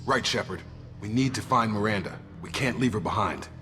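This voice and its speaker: An actor playing a steady, direct and earnest soldier aboard a space station in a video game. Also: male voice, on the low side, brisk, big-room sound, over music, close to an everyday voice. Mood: firm.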